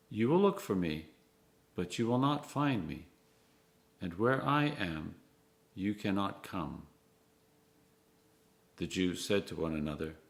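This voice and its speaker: A clergyman reading scripture aloud in an expressive, measured way, phrase by phrase, with emphatic stresses. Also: mid-range voice.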